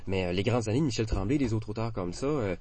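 A man in his forties speaks with a Québécois French accent in a fairly low voice. He talks casually and haltingly, stacking fillers and false starts as he thinks aloud. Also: animated, mellow. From Quebec. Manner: hesitant, many fillers and restarts. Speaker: interviewee. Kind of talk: casual conversation.

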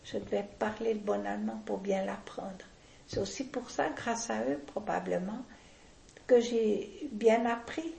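An older woman, talking deliberately and with firm opinions in a casual interview, often restarting her sentences. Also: medium pitch, creaky, warm tone, roomy sound, rough recording.

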